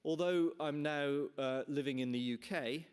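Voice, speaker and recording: A man's voice: a little high, unhurried, smooth. A middle-aged man from Canada, the chair, giving an earnest formal welcome speech in a conference hall with frequent restarts and fillers.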